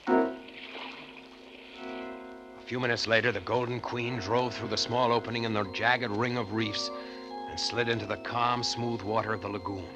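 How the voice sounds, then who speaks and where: A man's voice: lowish voice, little pitch movement, moderate pace, pauses often, muffled recording, music in the background, natural voice. A man playing an earnest American ex-Navy treasure hunter, telling his tale in 1940s radio drama style. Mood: reflective, vivid. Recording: faintly noisy vintage radio recording.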